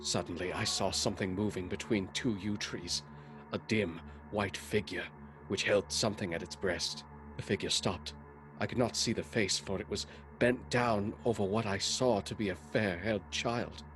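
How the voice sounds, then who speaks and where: An actor playing an earnest, haunted doctor who narrates gothic horror in a measured, dramatic radio-play style. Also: male voice, on the low side, natural voice, rough recording. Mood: tense, haunted. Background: music.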